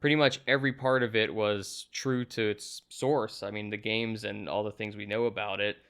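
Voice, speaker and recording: A man's voice: on the low side, fairly even intonation, normal speed, a bit bright, smooth. A man hosting a casual, agreeable movie review conversation, talking in a conversational way.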